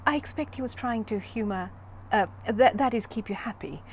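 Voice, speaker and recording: A woman's voice: on the high side, normal speed, slight background noise, pitched above the performer's own voice, natural voice. A woman voicing a fussy, easily exasperated male psychiatrist in a storybook, careful and hesitant, with strained politeness. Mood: puzzled, careful.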